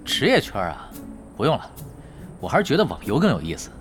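一名男演员在广播剧里饰演一位漫不经心、略带得意的网游高手，语气随意。. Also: male voice, mid-range voice, wildly swooping pitch, fairly quick, over music. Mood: nonchalant. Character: breezy, cocky male expert gamer, nonchalant. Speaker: voice actor. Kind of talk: Chinese audio drama acting.

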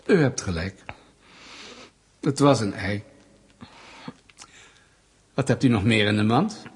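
A man's voice: fairly low pitch, lively intonation, slow pace, husky, heavily acted. An actor playing a suspicious, smug state security interrogator in a radio play, pedantic and sardonic as he presses his questions. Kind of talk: Dutch radio play acting.

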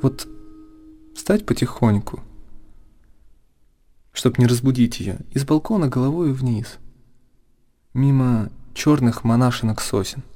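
A man giving a dramatic literary narration for radio, solemn and deliberate, breaking the text into short phrases. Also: medium pitch, animated, mellow, soft background music. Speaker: narrator reading literary prose.

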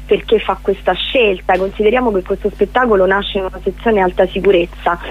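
Over a narrow, tinny telephone connection, a woman speaks as a radio interview guest. Her voice sits at a middling pitch. She explains things earnestly and leans on repeated words for emphasis. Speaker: interview guest, prison theatre project leader. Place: on a phone call to a radio show. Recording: narrow tinny phone line.

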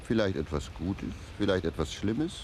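Deep, mellow, sly manservant. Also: male voice, animated, moderate pace, a little hiss or hum. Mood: sly, musing. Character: talkative, philosophizing manservant, calm and sly. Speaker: actor in radio drama. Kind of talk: radio play acting.

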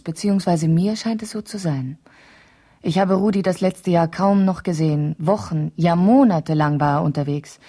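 An actress playing a weary, neglected wife in a radio play, plaintive and formal, with a soft husky voice. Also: female voice, fairly low pitch. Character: neglected, suffering wife, weary and self-dramatizing. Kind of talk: radio play, letter monologue.

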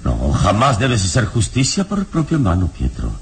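An actor playing a serene, righteous hero of a radio serial, speaking in a measured, sententious and authoritative way. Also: male voice, lowish voice, huge pitch swings, creaky, exaggerated voice. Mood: firm, admonishing. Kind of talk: old radio serial acting. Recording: noisy old radio serial recording.

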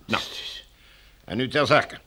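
An actor playing a formal, domineering military commander in a radio drama, smooth and coldly menacing. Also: male voice, mid-range voice, takes it slowly, pushed and bright, exaggerated voice. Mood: coolly matter-of-fact. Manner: formal, officious, bureaucratic.

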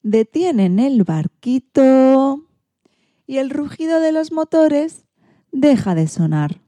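A woman reading a children's storybook in an expressive, theatrical way. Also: high voice, slow pace, no room sound. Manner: theatrical, acts out sound effects and exclamations.

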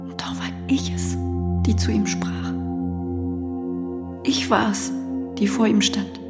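Slow, grieving, bitter abandoned woman. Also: medium pitch, huge pitch swings, over loud music, exaggerated voice. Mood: anguished. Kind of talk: slow, intense radio-play monologue.

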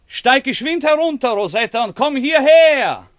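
Ardent young nobleman, lively voice. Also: very high-pitched, a little slow, dry recording, heavily acted. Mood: lyrical. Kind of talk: theatrical German radio play acting.

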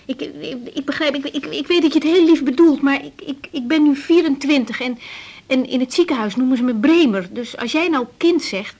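A woman's voice: high voice, normal speed, darkish tone. An actress in her twenties with a Netherlands Dutch accent, playing a busy, patient young doctor in a naturalistic radio drama. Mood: patient, slightly weary.

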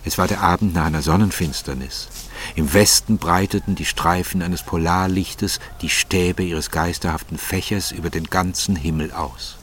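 A man's voice: low-pitched, fairly even intonation, moderate pace, slight background noise. A man reading scripted literary narration in German as the narrator of a story.

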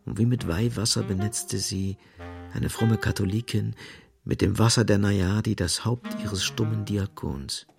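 An actor as a grave, dramatic literary narrator in a radio play. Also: male voice, lowish voice, steady tone, measured pace, gravelly. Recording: music underneath.

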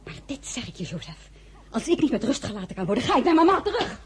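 A woman playing a spirited, proud and headstrong young merchant's daughter in a radio drama, with formal, expressive delivery. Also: high-pitched, fast talker. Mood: indignant. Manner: crisp formal refusals, indignant and expressive. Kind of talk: radio drama acting with first-person narration.

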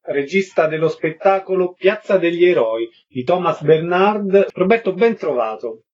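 A male radio host with a slightly high voice. He presents items and interviews his guest in radio style. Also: animated, measured pace, darkish tone, slightly rough, highs cut off.

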